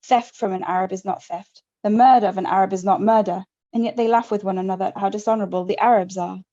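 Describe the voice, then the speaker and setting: A woman author with a mellow, warm voice. She reads her prose in a measured way and answers in halting, thoughtful speech. The audio sounds dull and lo-fi. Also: medium pitch, fairly even intonation. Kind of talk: reading from her novel, then interview answers.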